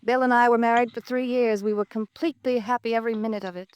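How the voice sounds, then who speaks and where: An actress playing a guarded, grieving young widow in a 1950s radio drama, hesitant and defensive. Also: female voice, a little high, fairly even intonation, fast talker, a little mellow, clean tone, lightly acted. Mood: apologetic, shaken.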